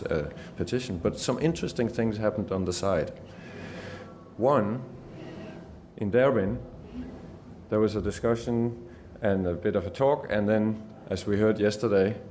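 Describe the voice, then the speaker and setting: A man's voice: mid-range voice, darkish tone, slight background noise. A man with a Danish accent giving an earnest conference speech as a journalist, often restarting and repeating words. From Denmark. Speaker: journalist giving a summit talk.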